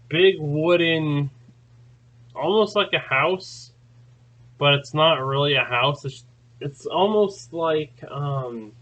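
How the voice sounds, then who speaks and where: A man's voice: fairly high pitch, bright, forceful tone. A man hosting his own channel, telling a long story in a rambling, casual way, full of fillers and restarts. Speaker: channel host. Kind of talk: casual spoken recounting.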